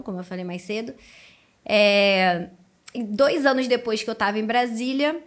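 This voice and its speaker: A woman in her thirties with a Carioca Brazilian accent, telling her story casually as an interview guest, chatty and colloquial, quick to laugh. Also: mid-range voice, fairly bright tone. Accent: Carioca Brazilian Portuguese. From Rio de Janeiro, Brazil. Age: 30s. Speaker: podcast guest, educator and speaker.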